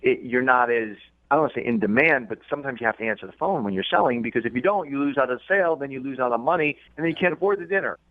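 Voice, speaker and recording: A man's voice: mid-range voice, lively intonation, very fast. Defensive man on a tinny phone line. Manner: defensive, hedging. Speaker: radio call-in guest. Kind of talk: casual phone conversation, recounting. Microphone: telephone.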